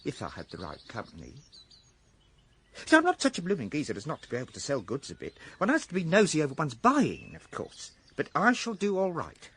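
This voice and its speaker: A man's voice: a little high, wildly swooping pitch, brisk, fairly bright tone, husky, heavily acted. Awkward Englishman with wildly swooping voice. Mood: earnest, wistful. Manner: clipped, hesitant fragments. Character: awkward, evasive, wistful male would-be shopkeeper. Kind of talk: radio drama acting.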